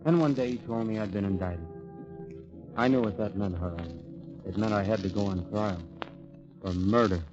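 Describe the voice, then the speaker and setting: A man's voice: fairly low pitch, normal speed, pauses often, close to an everyday voice. An actor playing an earnest, bewildered young man accused of murder, who also narrates, in 1940s radio drama style. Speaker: narrator and lead. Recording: dull old radio recording with music.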